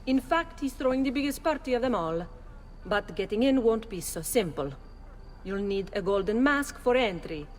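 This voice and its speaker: An actress playing a calm, knowing courtesan madam in a video game, speaking. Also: female voice, on the high side, normal speed, natural voice. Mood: scheming. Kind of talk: video game cutscene acting. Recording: reverberant room with faint noise.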